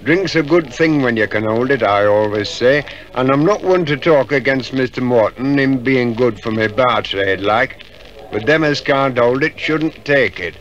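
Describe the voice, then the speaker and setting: An actor playing a chatty, folksy Yorkshire village publican in a 1950s radio drama. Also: male voice, medium pitch, moderate pace, fairly bright tone, lightly acted. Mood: gossipy. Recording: dull, band-limited 1950s radio recording.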